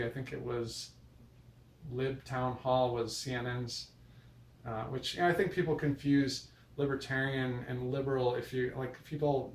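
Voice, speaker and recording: An American man talking casually to viewers in a rambling monologue, hesitant and thinking aloud as he goes. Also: medium pitch. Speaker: vlogger. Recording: echoey room, distant mic, faint noise.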